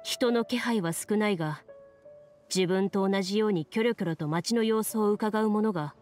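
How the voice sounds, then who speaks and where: A woman's voice: mid-range voice, natural voice. Composed, formal young woman actress as game master. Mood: solemn storytelling. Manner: calm, formal, deliberate explaining. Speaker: game master narrating a tabletop game. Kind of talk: anime video game acting, storytelling narration. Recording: music under the voice.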